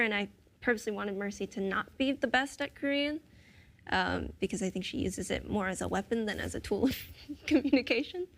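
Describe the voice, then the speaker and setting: A woman's voice: medium pitch, fairly bright tone. A young American woman, an actress on a roundtable, talking thoughtfully and with animation. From United States. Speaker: actress guest. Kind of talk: roundtable conversation.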